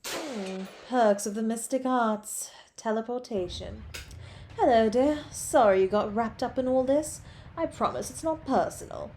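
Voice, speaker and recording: A woman's voice: on the high side, measured pace, a little mellow, slight background noise. A woman playing a bored, taunting supervillain in a superhero audio roleplay, drawling and mocking theatrically. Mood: smug menace.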